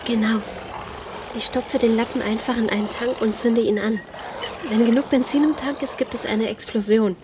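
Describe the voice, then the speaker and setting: An actress plays a resourceful female survivor in a zombie audio drama. She speaks in a fairly high voice, tense but determined. Clear background ambience surrounds her. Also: steady tone, moderate pace, lightly acted. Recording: noisy outdoor ambience sound effects.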